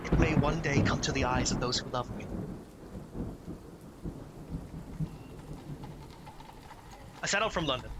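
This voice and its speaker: A man's voice: on the high side, heavy background noise, close to an everyday voice. An actor playing an earnest, anxious young lawyer's clerk narrating his journal in a dramatic radio play style. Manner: dramatic, urgent, mounting dread.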